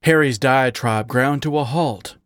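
A man narrating an adult story in a measured, expressive reading on a close mic. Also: mid-range voice, no room sound. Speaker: story reader.

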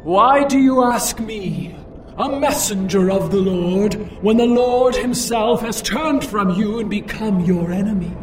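An actor playing the stern ghost of an old prophet in a dramatized Bible story, solemn and drawn-out. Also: male voice, high voice. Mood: stern, condemning. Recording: echoing, distant-sounding voice over loud music.

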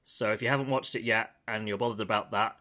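A man's voice: on the low side, fairly even intonation, fast talker, pushed and bright. A man hosting a podcast, leading the talk in an upbeat way. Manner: upbeat, guiding the conversation. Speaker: podcast host.

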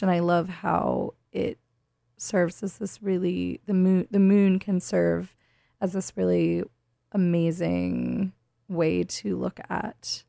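Slow, mellow woman hosting radio. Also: mid-range voice, vocal fry, dry recording, clean studio sound. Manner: halting, searching for words. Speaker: radio show host. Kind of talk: casual, reflective radio hosting.

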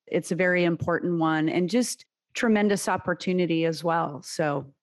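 A woman executive guest in a business interview, speaking in a measured, reflective way. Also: lowish voice. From United States.

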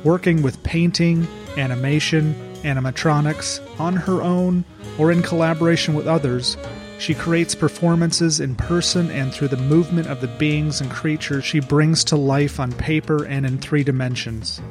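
A man hosting a podcast who introduces his guest and asks relaxed, curious questions. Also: on the high side, normal speed, music in the background. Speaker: podcast host. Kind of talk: podcast interview.

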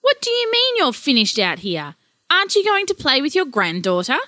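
A woman voicing an exasperated, nagging grandmother, sharp and scolding. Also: fairly high pitch, sing-song, fairly quick, no echo, clean studio sound. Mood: annoyed.

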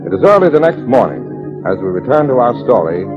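A male announcer reads scripted narration in 1940s radio style. His medium-pitched voice rises and falls boldly over faint music, on a dull vintage recording. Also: big pitch swings, brisk.

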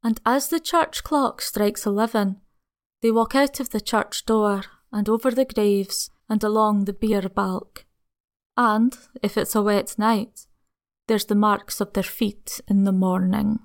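A man's voice: high voice, steady tone, gravelly, pitched above the performer's own voice. A man voicing an old housekeeper woman. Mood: ominous. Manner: slow, hushed, ominous. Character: old country housekeeper woman, placid, superstitious.

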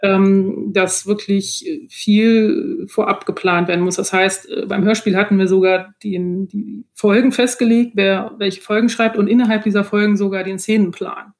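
A woman's voice: mid-range voice, steady tone, moderate pace, hardly any pauses. A woman with a German accent answering interview questions as an author, explaining things in a conversational way. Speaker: guest, author of novels and audio dramas.

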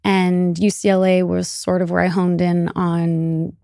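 Gravelly-voiced woman, candid and chatty. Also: fairly low pitch, flat delivery, measured pace, mellow, dry recording, studio quality. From Iran. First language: Persian. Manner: candid, laughs often, many fillers. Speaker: guest. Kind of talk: casual interview chat.

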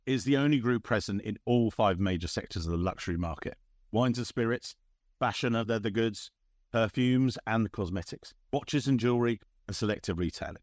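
A man's voice: fairly low pitch, no echo, studio quality. A man hosting an interview podcast, presenting guests and putting questions to them in a presenter's style. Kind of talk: scripted podcast intro and interview.

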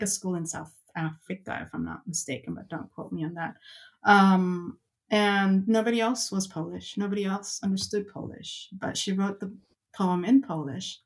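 A woman guest on a podcast, telling a personal story in a reflective, hesitant way, with fillers and restarts. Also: medium pitch. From Canada.

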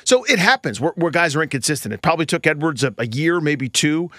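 Male sports podcast co-host, higher-pitched and very animated, emphatic, colloquial, casual baseball talk. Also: fast, no echo, studio quality.

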